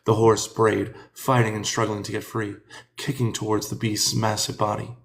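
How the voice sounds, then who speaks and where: A man reading a fantasy audiobook in a measured, expressive storytelling manner. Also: lowish voice, gravelly. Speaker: narrator. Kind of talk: dramatic fantasy audiobook narration.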